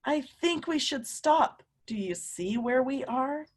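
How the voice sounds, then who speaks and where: A woman voicing a sensible, cautious young girl from a storybook in a firm, measured way. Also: high-pitched, animated, husky, exaggerated voice.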